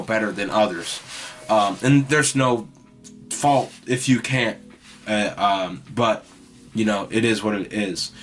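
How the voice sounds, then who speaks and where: Rambling young man, raspy voice. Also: mid-range voice, slight background noise. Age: young adult. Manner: rambling, heavy use of "like" and "you know"; mostly monotone delivery. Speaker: podcast host. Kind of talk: casual rambling monologue.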